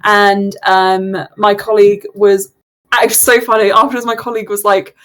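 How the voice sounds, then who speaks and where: A young woman running a tabletop game as game master, chatty and quick to laugh, often breaking off mid-sentence. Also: mid-range voice, flat delivery, a bit bright.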